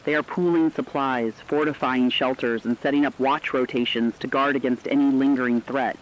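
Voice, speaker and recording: A man's voice: medium pitch, steady tone, moderate pace, highs cut off, a little hiss or hum. A man narrating a scripted emergency radio broadcast as a newscaster, with grave, solemn delivery. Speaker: radio newscaster in a horror story.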